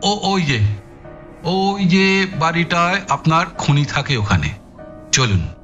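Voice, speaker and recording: An actor playing a brusque, menacing, sarcastic police inspector in an audio drama, needling with emphatic, taunting politeness. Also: male voice, a little high, expressive, slow, sharp tone, lo-fi sound, faint music behind, exaggerated voice. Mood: sardonic.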